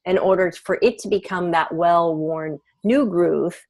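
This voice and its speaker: A woman's voice: fairly low pitch, a little slow, a little mellow. A woman hosting a women's group video call, explaining habits and the brain in an earnest, encouraging way. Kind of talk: coaching talk and group facilitation.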